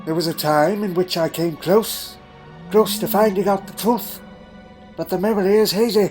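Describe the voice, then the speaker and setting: A haunted old alien wanderer, played by a man in an audio drama, with a notably high voice for a male. He recounts his past in a grave, deliberate manner, humming as he ponders, with music playing underneath. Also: steady tone, a little mellow, close to an everyday voice. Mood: reflective. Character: elderly male alien time traveller, haunted and secretive. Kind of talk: fan audio drama acting.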